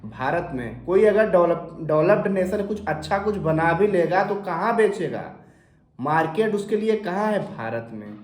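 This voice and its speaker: A man with a sore throat explaining investing to viewers, often clearing his throat and asking questions he then answers himself. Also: sits high, reverberant, a little hiss or hum. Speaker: finance explainer channel host. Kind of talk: informal explainer talk to camera.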